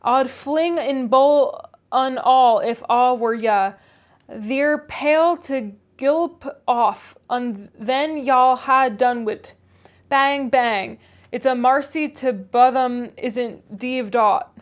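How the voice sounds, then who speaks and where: A woman's voice: high voice, slow. A woman voicing a grumbling, sneering old male servant, drawling a thick rustic dialect in a storybook reading.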